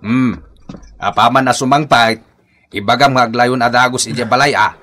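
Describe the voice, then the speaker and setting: Bossy older Ilocano father-in-law, forceful, sharp-edged, medium-pitched, grumbling radio drama delivery. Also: steady tone, moderate pace. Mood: impatient.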